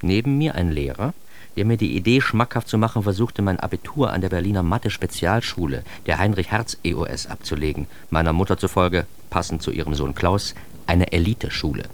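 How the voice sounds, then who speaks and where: A man's voice: sits low, brisk, slight background noise. An actor playing a self-deprecating, bitter grown son who narrates his youth in a confessional radio-play monologue, addressing the listener directly. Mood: storytelling. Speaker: narrator and protagonist of a radio drama.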